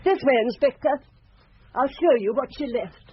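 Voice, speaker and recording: A woman's voice: high-pitched, expressive, normal speed. An actress with a British accent playing a haughty, indignant older French landlady in a radio drama. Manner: indignant, clipped, disdainful. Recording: dull, hissy radio drama recording.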